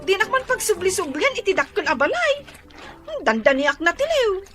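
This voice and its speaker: An actress in a radio drama playing a cheerful, chatty young daughter with an Ilocano accent. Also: female voice, extremely high pitch, big pitch swings, moderate pace, over music. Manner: giggly, chatty.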